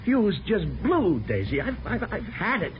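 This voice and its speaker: Meek henpecked husband, highish voice, hesitant, stammering, 1950s radio play, noisy recording. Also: male voice, wildly swooping pitch, a little slow, muffled recording, heavily acted. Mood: nervous, defensive. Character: meek henpecked husband, reformed crook turned car salesman. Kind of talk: 1950s radio drama acting.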